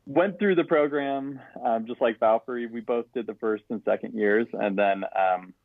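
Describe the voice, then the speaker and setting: A man's voice: mid-range voice, moderate pace, creaky, no echo. A man from Utah, an education director, chatting casually and friendly in an interview, laughing easily. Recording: tinny phone-like dry audio.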